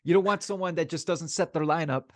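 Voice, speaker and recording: A man co-hosting a sports podcast in casual banter, animated and repeating phrases for emphasis. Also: a little high, fast talker, no room sound.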